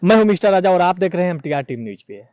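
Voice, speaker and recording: Mellow, high-voiced man presenting informal news. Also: big pitch swings, no echo, lo-fi sound. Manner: halting, repeats himself. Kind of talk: informal unscripted news commentary.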